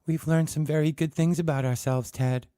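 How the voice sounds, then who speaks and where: A man's voice: on the high side, steady tone, normal speed, dark tone, smooth, dry recording, clean studio sound, voice pushed up, close to an everyday voice. A man voicing a cold, self-righteous teenage girl who lectures in a cool, preachy way.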